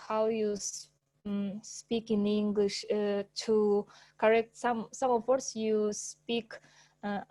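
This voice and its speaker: A woman whose first language is Russian gives an informal presentation over a video call, pausing often with fillers and restarts. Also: medium pitch, dark tone, no echo. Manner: hesitant, many fillers and restarts, laughs often. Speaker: presenter at an English club.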